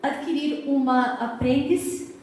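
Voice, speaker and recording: A woman's voice: high-pitched, slow, a little hiss or hum. American woman lecturing with a Portuguese accent. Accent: Portuguese (Azorean family), American English. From United States, Massachusetts. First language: English. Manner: warm, chatty, asks the audience questions, frequent "tá?" check-ins. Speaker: historian giving a public lecture. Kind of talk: public lecture. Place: hall of a cultural society. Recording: echoey hall, mic far off.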